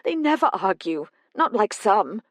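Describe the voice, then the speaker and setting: A woman voicing an older mother who is frightened and tearful, talking in a tremulous, rushed outpouring. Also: mid-range voice, very animated, dry recording, studio quality, voice pushed up. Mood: distraught.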